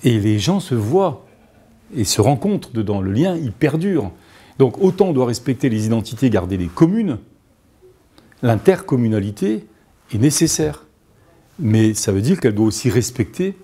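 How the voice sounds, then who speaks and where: A man in his fifties speaks off the cuff as a mayor in an interview. His voice is mid-pitched and mellow, with a husky edge. He is emphatic and often repeats words as he searches for the next. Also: big pitch swings, moderate pace, long pauses. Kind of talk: spontaneous interview answer. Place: office or meeting room indoors.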